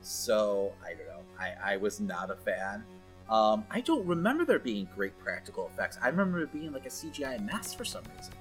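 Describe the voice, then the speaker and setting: Animated man, teasing and blunt. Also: lowish voice, fairly quick, soft background music, poor audio. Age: 40s. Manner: teasing, blunt, swears casually. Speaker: podcast co-host. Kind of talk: casual film debate.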